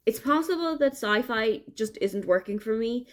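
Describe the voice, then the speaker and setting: A woman's voice: a little high, lively intonation, moderate pace. A young Irish woman with an Irish accent, chatting casually and enthusiastically in a book vlog diary. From Ireland. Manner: chatty, enthusiastic, thinking aloud. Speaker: book vlogger.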